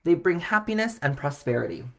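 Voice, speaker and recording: A man voicing a patient, reassuring mother in a children's storybook, calm and instructive. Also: fairly high pitch, fairly quick, vocal fry.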